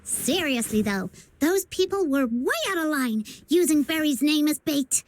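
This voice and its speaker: A woman's voice: high voice, lively intonation, measured pace. A woman voicing a boastful, cheeky little self-styled demon king in a video game, smug and theatrical. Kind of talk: scripted video game acting.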